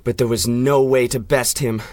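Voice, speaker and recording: An actor playing an anguished, ashamed scientist in 1930s-style radio drama, speaking in a halting, despairing way. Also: male voice, medium pitch, steady tone, a little mellow, dry recording, close to an everyday voice. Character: broken, haunted male research scientist, anguished and ashamed.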